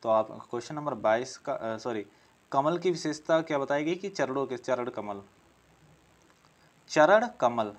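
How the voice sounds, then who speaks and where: A man's voice: medium pitch, expressive, a little slow, long pauses. A man teaching an exam-prep class, working through questions, asking them aloud, answering them and repeating key phrases as he explains.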